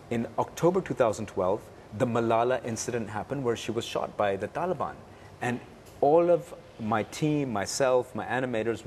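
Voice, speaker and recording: A man from Pakistan giving interview answers as a producer, in a halting way with pauses and repetitions. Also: medium pitch, darkish tone. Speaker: interview guest, cartoon producer. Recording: faint background room noise.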